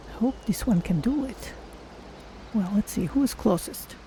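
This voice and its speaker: A woman's voice: mid-range voice, animated, moderate pace, warm tone, a little hiss or hum, natural voice, poor audio. A woman voicing a female Khajiit cat-person adventurer in a game, musing to herself in short phrases. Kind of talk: in-character game stream commentary.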